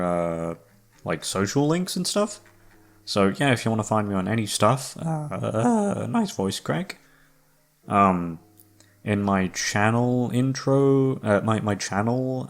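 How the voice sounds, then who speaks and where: Low-voiced young man, sweary rambling. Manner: sweary, slangy, self-mocking. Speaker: YouTube voice actor and creator. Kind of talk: casual rambling chat to listeners. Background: background music.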